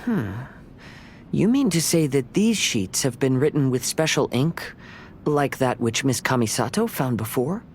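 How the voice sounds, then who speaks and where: Calm, courteous young samurai. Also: male voice, mid-range voice, slight background noise. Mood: calm, earnest. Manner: measured, formal, polite. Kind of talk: video game dialogue acting.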